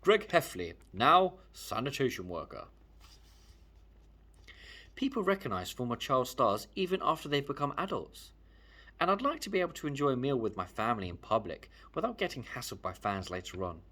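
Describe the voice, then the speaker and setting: Male read-aloud narrator, lively pitch movement, slightly rough voice, emphatic first-person storytelling. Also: moderate pace. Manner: animated, emphatic. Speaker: storyteller reading a children's book aloud.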